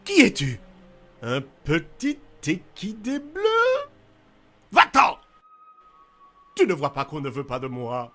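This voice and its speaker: A performer voicing a theatrical, grandiose chaos spirit in an animated cartoon dub, mocking and self-pitying, bitter and wounded. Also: medium pitch, slow pace, long pauses, soft background music, exaggerated voice. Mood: wounded, sarcastic.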